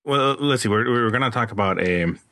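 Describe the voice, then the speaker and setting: A man's voice: mid-range voice, fairly quick, sharp tone, dry recording, studio quality. A man in his forties who grew up in Honduras, a podcast guest chatting in a thoughtful way. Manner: thoughtful, many fillers and restarts. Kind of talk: casual chat.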